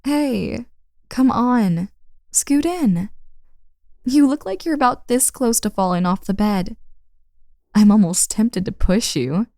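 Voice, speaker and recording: A woman's voice: a little high, lively intonation, slow pace, vocal fry, long pauses, warm tone, dry recording, clean studio sound, heavily acted. A woman playing a confident, teasing young woman in an intimate roleplay audio drama, speaking casually and playfully to the listener.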